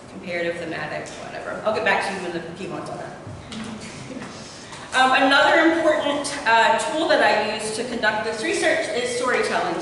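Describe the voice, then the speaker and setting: A woman's voice: fairly high pitch, a bit bright, far from the mic. A young woman with an Appalachian accent giving an informal academic presentation in a lecture room, with plenty of filler hesitations. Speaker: doctoral student presenting research. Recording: echoey hall taken from afar with noise.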